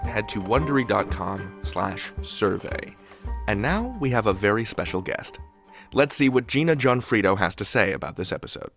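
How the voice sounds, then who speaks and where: Male podcast host: lively swooping intonation, chatty and enthusiastic, music bed underneath. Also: on the low side, moderate pace, vocal fry. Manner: conversational, enthusiastic. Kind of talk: chatty scripted podcast hosting.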